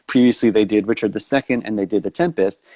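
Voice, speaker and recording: A man's voice: fairly low pitch, quick pace, darkish tone. A man with a New York accent hosting a live online interview over a video call, talking in long loops full of restarts. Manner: rambling with many restarts. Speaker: host.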